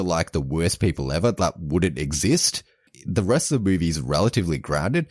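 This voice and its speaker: A man with an extremely low, deep voice chats casually on a podcast, stumbling through a plot summary with constant restarts and self-corrections. The sound is dry and close, with no room around it. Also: dry recording. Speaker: podcast co-host. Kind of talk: casual chat, retelling a movie plot.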